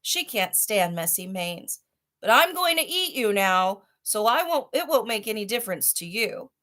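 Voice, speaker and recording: A woman's voice: mid-range voice, big pitch swings, normal speed, pushed and bright. A woman voicing a vain, hot-tempered male lion in a children's storybook, with a blustering, exclamatory way of talking. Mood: fretful. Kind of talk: children's storybook read-aloud.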